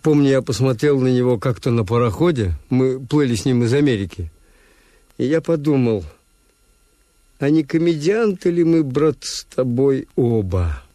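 An actor in a radio drama playing a skeptical, world-weary hotel owner who narrates in a measured, understated way. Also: male voice, medium pitch, lively intonation, warm tone, no room sound, lightly acted. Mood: dry, skeptical.

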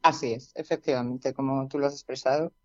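A union representative speaking as a radio guest, measured and explanatory. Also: medium pitch, steady tone, dry recording, lo-fi sound. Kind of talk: conversational radio interview.

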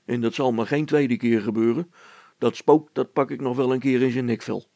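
Man as a gravelly, stubborn village policeman. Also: mid-range voice, fairly even intonation, normal speed, mellow, no echo, lightly acted. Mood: indignant, stubborn. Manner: blunt, emphatic. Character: indignant, stubborn male village policeman, plain-spoken and earnest.

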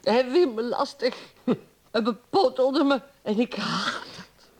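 A woman's voice: on the high side, lively intonation, slow pace. An actress in a radio play playing a defensive, embittered middle-aged wife who drinks, arguing emphatically with her husband. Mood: indignant. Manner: indignant, emphatic, pleading.

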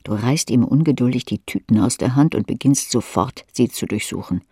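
A woman narrates an audiobook in a husky, breathy voice. The sound is dry and close, and her dramatic delivery moves between murmurs and sharper emphasis. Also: very deep voice, normal speed, warm tone, no room sound. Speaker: audiobook reader. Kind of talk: scripted audiobook narration in second person.

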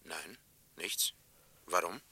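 A man's voice: low-pitched, takes it slowly, sharp tone, slightly rough, no room sound, exaggerated voice. A man playing a plain, factual engineer and neighbour in a 1960s German radio drama. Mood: neighbourly. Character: matter-of-fact male engineer, a neighbour giving testimony.

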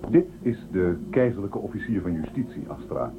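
A dutiful imperial officer in a radio play. He is formal and deferential, with a lowish, animated voice and tinny sound. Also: moderate pace. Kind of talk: radio drama acting.

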